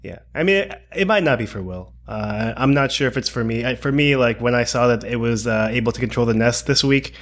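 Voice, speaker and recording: A man's voice: medium pitch, animated, a little fast, hardly any pauses, a bit bright. A man co-hosting a tech podcast, chatting casually in a hesitant, rambling way that hedges as he goes. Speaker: podcast co-host.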